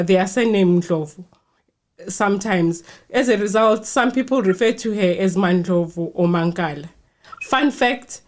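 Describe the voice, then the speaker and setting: A woman from Zimbabwe, hosting a podcast and reading her introduction in a clear, measured way. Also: mid-range voice. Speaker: podcast host. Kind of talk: scripted podcast intro, then interviewing.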